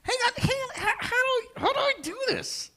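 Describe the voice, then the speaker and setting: A woman playing an excitable, dim, flustered man who stammers, in a live comedy table read. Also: very high voice, a little fast, heavily acted. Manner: stammering, yelping, scattered.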